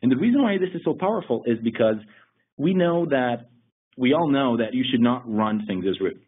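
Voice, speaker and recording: A man's voice: medium pitch, animated, normal speed. A man presenting a technical webinar, explaining things steadily with occasional restarts. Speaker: security lead presenting a webinar. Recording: husky voice over a tinny phone-like line.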